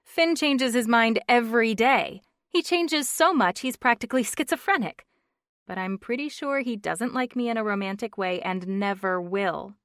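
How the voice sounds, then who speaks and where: A woman's voice: on the high side, moderate pace, fairly bright tone, no room sound, voice pushed up, natural voice. A woman voicing a frustrated woman in her thirties, wry and exasperated, in a dry, close reading. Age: 30s.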